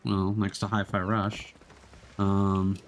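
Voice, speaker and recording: A man's voice: sits low, monotone, slow, a little hiss or hum. A man casually talking through his gameplay as a streamer, chatty and thinking aloud with frequent self-corrections.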